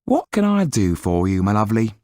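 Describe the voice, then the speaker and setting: A man's voice: on the low side, sing-song, a little fast, warm tone, no room sound, studio quality, voice pushed up. A man doing a brash flirty café wife. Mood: flirtatious. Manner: emphatic, coquettish.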